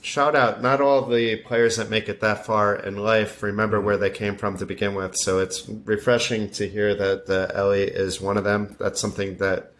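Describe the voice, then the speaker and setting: A man hosting a casual interview, asking questions in a relaxed, conversational way. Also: on the low side, moderate pace, few pauses, a little hiss or hum. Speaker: interview host.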